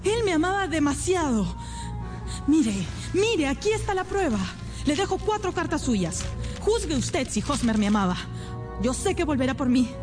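High-voiced, lovelorn young woman. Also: big pitch swings, normal speed, music in the background. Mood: distressed, insistent. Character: anxious, lovelorn young woman client, naive and devoted. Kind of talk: Spanish-language radio drama acting.

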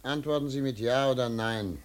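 An actor playing a stern, impatient investigator in a German radio drama, questioning in a commanding, clipped way. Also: male voice, medium pitch, normal speed, close to an everyday voice. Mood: stern, pressing. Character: stern, impatient male investigator interrogating a suspect.

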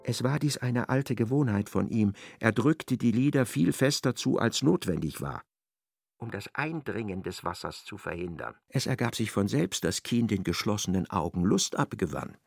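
A man narrating a literary radio drama in a measured, precise, dry manner. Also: lowish voice, lively intonation, gravelly, slightly rough, no room sound.

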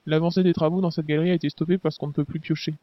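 A man's voice: a little high, flat delivery, very fast, no room sound, highs cut off, close to an everyday voice. A man voicing a young male resistance fighter who talks in loose slang with frequent fillers. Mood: casual. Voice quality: casual slang, hesitant fillers.

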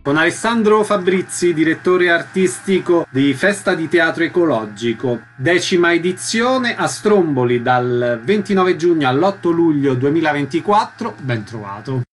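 Higher-pitched male radio interviewer. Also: lively intonation, normal speed, hardly any pauses, faint music behind.

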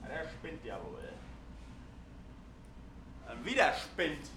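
An agitated eccentric man, acted by a man for an amateur radio play, muttering and mocking in obsessive repeats. The delivery swoops widely and lingers slowly, with a roomy echo around it. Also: fairly high pitch, wildly swooping pitch, exaggerated voice. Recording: roomy, noisy amateur recording.

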